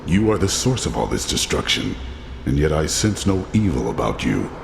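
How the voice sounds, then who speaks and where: A man's voice: rumbling low, big pitch swings, normal speed, creaky, background noise, exaggerated voice. A man voicing a stern, resolute hunter in a video game. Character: stern male hunter on a quest.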